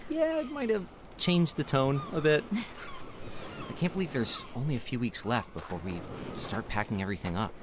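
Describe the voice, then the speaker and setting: Male actor as eager young man, audio drama, talkative, animated intonation, medium pitch, clear background noise. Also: normal speed. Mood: upbeat, eager. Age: young adult. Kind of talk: naturalistic audio drama dialogue.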